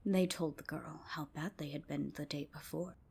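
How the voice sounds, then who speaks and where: A woman narrating a folk tale as ASMR, with a soft, whispery, hushed bedtime delivery. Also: sits low, steady tone, normal speed, creaky, slightly rough. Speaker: storyteller. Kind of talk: ASMR storytelling, scripted narration.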